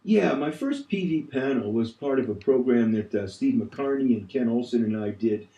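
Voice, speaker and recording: A man's voice: mid-range voice, animated, normal speed, dark tone, echoey room, rough recording. A man answering as an interviewee, recalling things conversationally in a played-back interview.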